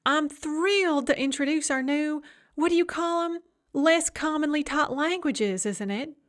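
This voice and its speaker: A woman's voice: high-pitched, unhurried, voice pushed up. A woman voicing a falsely sweet, condescending female college administrator who talks in a sing-song lilt. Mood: sugary.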